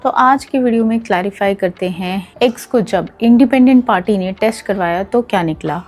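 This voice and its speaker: A woman with an Indian accent hosting a health explainer, speaking in a calm, measured, explanatory way and mixing in English terms. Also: medium pitch. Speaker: health video host.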